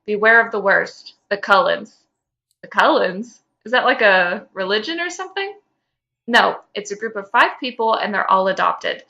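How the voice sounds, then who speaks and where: A woman co-hosting a podcast, informal and expressive, moving between casual chat and reading fan fiction aloud. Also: mid-range voice, moderate pace, gravelly, highs cut off. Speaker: podcast co-host.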